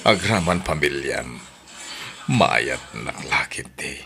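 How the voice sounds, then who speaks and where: A man's voice: a bass voice, lively intonation, slow, gravelly, background noise, full character voice. A man playing husband, boss and father roles in a radio drama, earnest and intimate. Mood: wooing. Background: background music.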